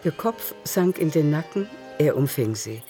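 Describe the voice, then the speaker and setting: Literary radio drama narrator over soft music. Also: medium pitch, expressive, measured pace. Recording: music underneath.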